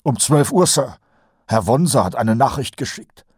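A man voicing an anxious, dutiful male sergeant in a higher, eager voice, in a dry studio read. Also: big pitch swings, normal speed, darkish tone, breathy edge, no room sound. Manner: eager, higher-pitched, a bit worried.

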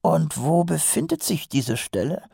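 A man voicing a shifty, cowardly little city watchman in an audiobook, with a raspy, croaky voice and a hesitant, wheedling manner. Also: on the high side, very animated, a little slow, dark tone, dry recording, clean studio sound, voice pushed up, heavily acted. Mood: curious, musing. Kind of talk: audiobook character voice.

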